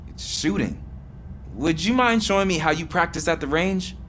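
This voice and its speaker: An eager but unsure rookie cop in a video game. He speaks politely in a fairly high voice, with faint noise behind him. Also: lively intonation, normal speed, a bit bright. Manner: earnest, hesitant, polite. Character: eager, self-doubting rookie policeman.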